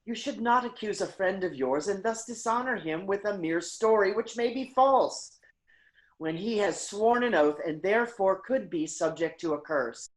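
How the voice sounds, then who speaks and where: A woman's voice: mid-range voice, expressive, normal speed, lightly acted, rough recording. A woman playing a loyal, conciliatory counselor to a king in a staged Greek tragedy, speaking with earnest formality. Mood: pleading.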